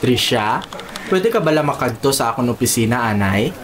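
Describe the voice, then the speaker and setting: A woman's voice: rumbling low, lively intonation, moderate pace, music in the background. A woman voicing a predatory male boss who coaxes and pressures an employee, in a student radio drama. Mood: coaxing. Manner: smooth, coaxing, turns leering.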